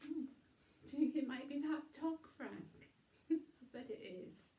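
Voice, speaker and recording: A woman reading a children's bedtime story in an animated way, with chatty asides. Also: high-pitched, measured pace, reverberant.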